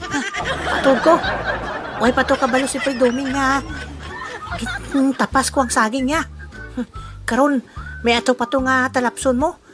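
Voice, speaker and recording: Lady playing a sneaky greedy thief in a comedy radio play, high voice, muttering and chuckling, music behind. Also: steady tone, normal speed, pauses often, crowd noise, close to an everyday voice. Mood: gleeful scheming. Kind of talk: comedic audio drama acting.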